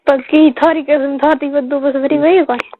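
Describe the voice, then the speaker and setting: Marwari woman on a phone call, really high voice, dull lo-fi sound, casual short answers. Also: steady tone, normal speed, a little hiss or hum. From Rajasthan, India. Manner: short replies and repeated questions. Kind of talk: casual private phone chat. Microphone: telephone. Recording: muffled lo-fi phone call recording.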